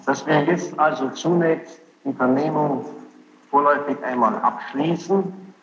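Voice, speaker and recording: A man presiding as judge, questioning and giving rulings in formal, halting phrases with pauses. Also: on the high side, vocal fry, crowd noise. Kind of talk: courtroom questioning and procedural rulings. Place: courtroom, taped from the room. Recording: echoey, muffled, noisy archival courtroom tape.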